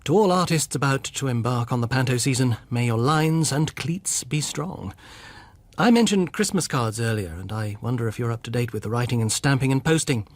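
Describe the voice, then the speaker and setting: Man, medium pitch, scripted storytelling narrator, conversational direct address, very dry recording. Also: no room sound. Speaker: narrator and presenter.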